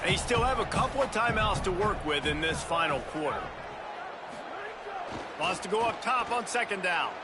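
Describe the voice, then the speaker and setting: A man with an American accent playing an excitable football play-by-play announcer in a video game, with crisp phrasing that rises with the action. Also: high voice, moderate pace, pauses often, over loud music. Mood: focused. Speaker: play-by-play sports commentator.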